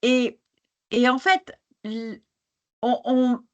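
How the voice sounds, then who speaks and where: A woman's voice: on the high side, steady tone, very slow, highs cut off. A woman speaking on a conference panel who grew up abroad. She talks with passion but hesitates, restarting often. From born in Algeria, grew up in Argentina. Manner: hesitant, many restarts, passionate about injustice. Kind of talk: panel discussion answer.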